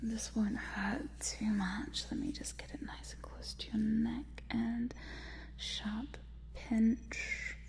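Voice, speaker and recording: A woman playing a quirky, lonely scientist in a whispered ASMR roleplay, softly muttering to herself as she tinkers. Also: a little high, little pitch movement, slow, vocal fry. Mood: careful, soothing. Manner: soft, hesitant, muttering to herself. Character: quirky lonely female scientist repairing a robot in her lab.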